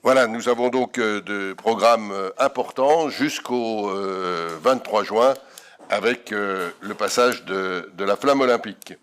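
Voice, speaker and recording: A man chairing a council meeting, making informal announcements in a halting way with repeated words. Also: on the low side, fairly bright tone, clear, resonant tone. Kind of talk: informal closing remarks and announcements.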